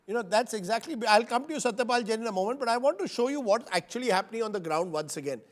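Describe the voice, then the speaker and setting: A man moderates a televised panel debate with a notably high voice. He presses his guests with pointed, challenging questions. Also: expressive, rapid-fire. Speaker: news anchor.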